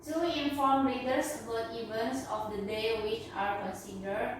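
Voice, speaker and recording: A woman's voice: fairly high pitch, fairly even intonation, measured pace. A woman student, reading aloud in class. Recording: echoey room, distant mic, faint noise.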